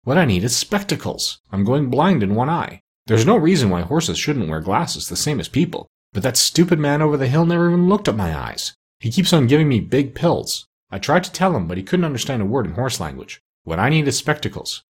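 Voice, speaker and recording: A man's voice: medium pitch, very animated, fairly quick, hardly any pauses, husky, pitched above the performer's own voice. A man voicing an opinionated, plain-spoken male plow horse with a complaint, in a storybook. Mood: aggrieved.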